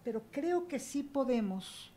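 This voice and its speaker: A woman's voice: high-pitched, expressive, unhurried, mellow. A woman panelist speaking emphatically, checking in with rhetorical questions. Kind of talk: academic panel talk. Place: university auditorium panel.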